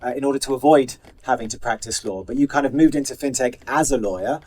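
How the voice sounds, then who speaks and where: A man hosting an interview, putting questions in an informal, conversational way with halting restarts. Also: mid-range voice, darkish tone. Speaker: interview host.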